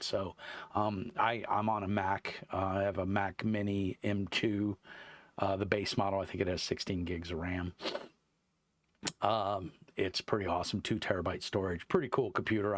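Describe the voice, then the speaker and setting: A man with a deep voice hosting his tabletop game review channel, rambling casually with lots of fillers and restarts. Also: no room sound. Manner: meandering, many fillers and restarts. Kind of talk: casual rambling chat to camera. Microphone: wireless clip-on mic.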